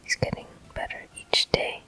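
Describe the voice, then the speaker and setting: A person whispering soft, breathy, unhurried commentary in short phrases while playing a video game for ASMR viewers. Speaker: video game player and host.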